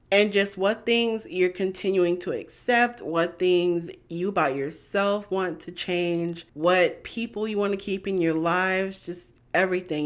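Twenty-something woman rambling on her podcast, kinda low voice, lots of likes and you knows. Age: 20s. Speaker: podcast host. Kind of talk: casual solo podcast chat.

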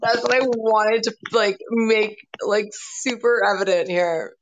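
A woman in her late twenties hosting a loose live chat, needling her co-host with dry sarcasm and easy swearing. Her pitch sits in an ordinary middle range. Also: measured pace, muffled recording. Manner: teasing, sarcastic, swears freely. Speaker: podcast host. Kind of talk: casual chat.

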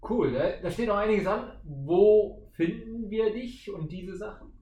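A man's voice: high voice, measured pace, dark tone, far from the mic. A man hosting a casual podcast interview and putting questions to his guest. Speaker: podcast host. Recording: echoey, off-mic, faint noise.

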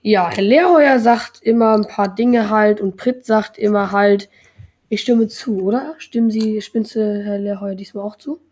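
A woman's voice: mid-range voice, measured pace, darkish tone. A young woman in her teens hosting a casual podcast, rambling along with lots of filler words. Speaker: podcast host.

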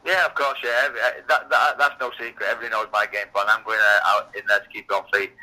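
A man of about thirty with a Yorkshire accent talks as a guest in a casual interview. His voice is very bright and forward, and he often repeats a word or two before he finishes a thought. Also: on the low side, fairly even intonation, very fast, low-quality recording. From England. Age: 30s. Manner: repeats words, stammering restarts. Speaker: guest fighter.

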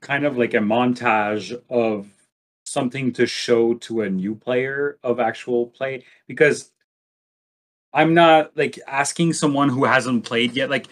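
A man in a casual discussion, speaking haltingly and thinking aloud as a panelist. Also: mid-range voice.

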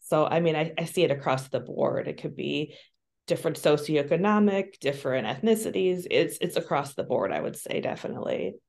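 A woman's voice: deep voice, a little fast, vocal fry, darkish tone. A woman from Colombia, a social worker, talking frankly as an interview guest, earnest, with frequent ums and restarts. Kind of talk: candid interview talk.

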